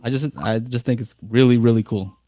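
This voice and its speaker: A man's voice: mid-range voice, fairly quick, dark tone, soft background music. A man hosting a live call-in podcast, chatting casually and laughing easily with callers. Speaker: podcast host.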